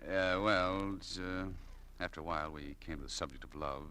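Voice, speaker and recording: A man's voice: low-pitched, moderate pace, pushed and bright. A man playing an earnest, testy young store clerk in a 1940s radio drama. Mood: confidential. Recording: faintly noisy old 1940s radio recording.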